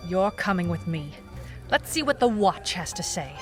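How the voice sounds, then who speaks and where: A woman's voice: on the low side, sing-song, moderate pace, over music. A woman playing a wry, hard-boiled private eye, clipped and dry, in a noir detective audio drama. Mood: accusing. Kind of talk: noir detective narration and radio-drama acting.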